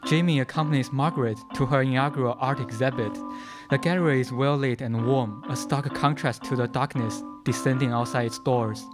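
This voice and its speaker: Young man, scripted storytelling narration, mid-pitched voice, radio play, music playing behind. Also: steady tone, brisk. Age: young adult. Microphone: stage microphone on stand. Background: musical accompaniment.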